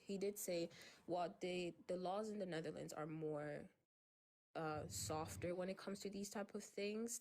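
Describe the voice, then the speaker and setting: Brief: twenties woman, low gravelly voice, measured and hesitant. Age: 20s. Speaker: debate participant. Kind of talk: live debate.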